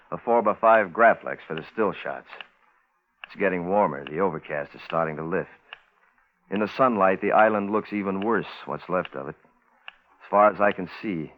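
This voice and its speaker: An actor playing a terse Navy photographer in a radio suspense drama, with a clipped, grave, measured delivery. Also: male voice, sits low, close to an everyday voice. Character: terse Navy photographer man stranded alone on a bomb-test island. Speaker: lead actor and narrator. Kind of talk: radio suspense drama, first-person log narration. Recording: muffled, noisy vintage radio broadcast recording.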